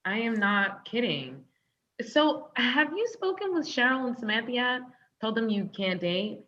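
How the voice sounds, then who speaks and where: A woman's voice: on the high side, sing-song, unhurried, pushed and bright, exaggerated voice. A woman playing a dry, sensible, blunt girlfriend in a comedy script read, with slightly dull, lo-fi sound. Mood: teasing, blunt.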